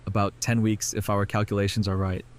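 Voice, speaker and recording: A man's voice: lowish voice, flat delivery, fairly quick, lightly acted. A man playing a wry, earnest young doctor in an audio drama, with a casual, teasing delivery. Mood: serious. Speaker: voice actor in a fan audio drama. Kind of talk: conversational audio drama acting.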